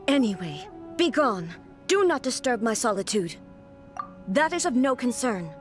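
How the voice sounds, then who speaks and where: Cold, imperious, high-voiced ruler deity. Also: female voice, very animated, measured pace, faint music behind. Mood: cold, commanding. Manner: terse, commanding, detached. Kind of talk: video game voice acting.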